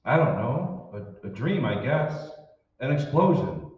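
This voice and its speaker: Man acting regular office guy in a radio play, swingy pitch, distant in a big reverby hall. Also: sing-song, unhurried. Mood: shaken, puzzled. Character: bewildered, shaken everyman office accountant. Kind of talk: old-time radio drama acting. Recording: very echoey hall, distant mic, muffled.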